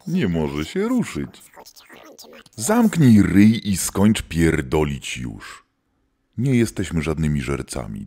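Male actor as gruff, weary monster hunter: extremely low voice, lively swings, audio drama. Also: exaggerated voice. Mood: wry, gruff. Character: gruff, world-weary demon hunter, keeper of old gods. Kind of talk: scripted audio drama storytelling.

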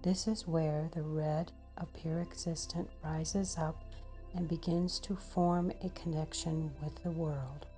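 A woman leading a slow guided meditation in a breathy, husky voice that often nears a whisper, pausing between short phrases. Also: sits low, steady tone, dark tone, faint music behind.

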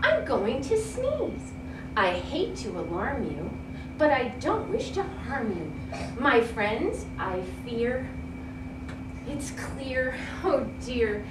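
A woman's voice: high-pitched, sing-song, slow, roomy sound, recorded from a distance, noisy background, voice pushed down, full character voice. Woman as a polite, apologetic storybook elephant. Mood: apologetic warning.

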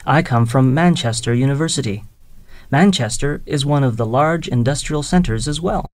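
A man reading scripted English listening dialogues, spelling names out slowly and clearly. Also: mid-range voice, no echo. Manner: slow, clear, careful enunciation. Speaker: voice actor in listening exercise.

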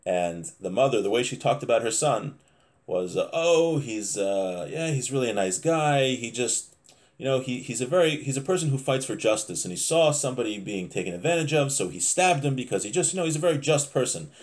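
A man in his thirties giving an informal religious lesson, reading from a text and explaining it haltingly, often rephrasing himself. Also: mid-range voice, expressive. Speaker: religious teacher. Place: small home office, indoors.

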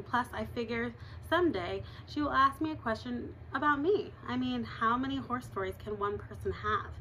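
A woman in her thirties reads a chapter book aloud with a clear, bright tone, lively and expressive as she goes. She stops now and then to chat with her listeners in an easy, conversational way. She is recorded on a camera's built-in mic in a living room at home, with a faint hum of room sound behind her. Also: on the high side, low-quality recording. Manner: expressive read-aloud with chatty asides. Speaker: reader.

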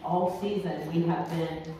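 A woman in her sixties, a pastor, giving church announcements and leading a call to worship in a homely, unhurried way. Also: low-pitched, fairly even intonation. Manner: homely, unhurried, with frequent ums. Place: church sanctuary. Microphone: pulpit mic from a far camera. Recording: echoey church from far off, noisy.

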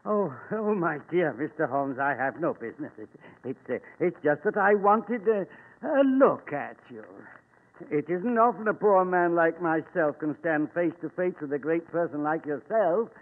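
An actor playing a fawning, humble old poor man, halting and obsequious, with a husky voice, in 1940s radio style. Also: on the high side, animated. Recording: tinny old radio recording.

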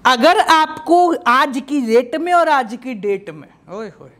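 A man in his thirties gives an energetic exam-prep lecture, his voice rising and falling all the time as he drills terms over and over. He talks in a playful, coaxing way to his students, gesturing in front of a projected slide. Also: extremely high pitch, slow pace. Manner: lively and playful, repeats key terms, gestures while talking. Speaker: teacher.

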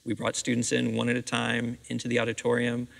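A man's voice: mid-range voice, completely flat delivery, a little fast, vocal fry. A middle-aged American man answering interview questions in conversation as a theatre director. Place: seated on the stage of a theatre auditorium. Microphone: clip-on lapel microphone. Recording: reverberant empty auditorium.

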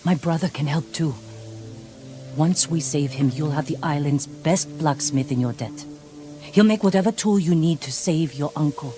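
A woman's voice: sits low, normal speed, music in the background, close to an everyday voice. An actress playing a tough, pragmatic female survivor in a video game, blunt and intense but restrained. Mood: urgent loyalty. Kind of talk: dramatic video game acting.

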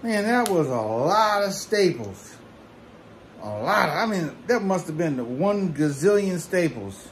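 A man's voice: on the high side, big pitch swings, unhurried. A man in his forties talking casually in a folksy, rambling way while he works on upholstery in a barbershop, filming himself close to a handheld camera. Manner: rambling, folksy, self-correcting. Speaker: DIY video host. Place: barbershop interior.

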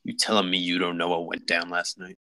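Deep male voice, forceful edge, actor as menacing police lieutenant, curt, commanding, crime drama table read. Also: monotone, a little fast. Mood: stern, pressing. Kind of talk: script table read acting.

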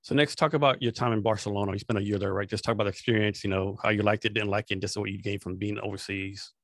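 A man's voice: lowish voice, fairly even intonation, racing, a bit bright, no echo. A man hosting a podcast interview, asking questions in a casual way with lots of "like", on a studio microphone. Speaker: host. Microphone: studio microphone with headphones.

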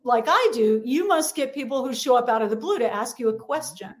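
Middle-aged female coach, high and animated, energetic interview guest. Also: fairly quick. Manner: energetic, acts out quoted voices. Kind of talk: podcast interview.

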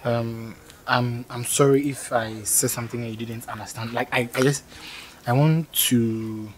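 A man's voice: medium pitch, fairly even intonation, moderate pace, slight background noise, natural voice. An actor playing a polite, awkward young man who stammers and speaks apologetically. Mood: pleading. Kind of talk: scripted skit acting.

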